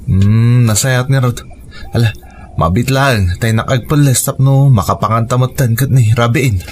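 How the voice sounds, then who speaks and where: A man's voice: mid-range voice, moderate pace, a little mellow, a little hiss or hum, close to an everyday voice. An actor playing a tired, plain-spoken working husband and father in a radio drama, with a Filipino accent. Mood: weary. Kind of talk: naturalistic radio drama acting. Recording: music bed behind radio drama dialogue.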